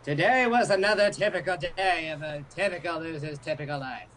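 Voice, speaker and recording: Higher-voiced man, sarcastic casual commentary. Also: brisk, fairly bright tone. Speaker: amateur let's-player. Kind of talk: casual joking commentary and read-aloud. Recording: faint background noise.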